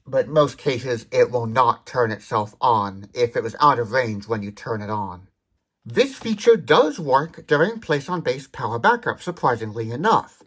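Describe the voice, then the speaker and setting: A man's voice: medium pitch, a bit bright. A man hosting a hands-on tech demonstration, explaining features step by step in a detailed, methodical way. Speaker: tech demonstration video host. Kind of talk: hands-on product demonstration commentary.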